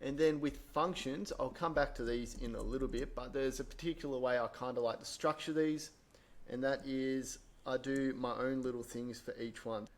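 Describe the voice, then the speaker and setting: Casual man explaining a software tutorial. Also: mid-range voice, steady tone, moderate pace. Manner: informal, explanatory, thinking aloud while typing. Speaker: tutorial presenter.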